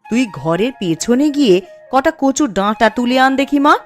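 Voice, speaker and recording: A woman's voice: on the high side, lively intonation, normal speed, natural voice. Woman playing a nagging village mother in a cartoon. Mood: instructing. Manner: nagging, chiding. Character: scolding, weary but caring village housewife and mother. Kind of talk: children's folktale cartoon voice acting. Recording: music under the voice.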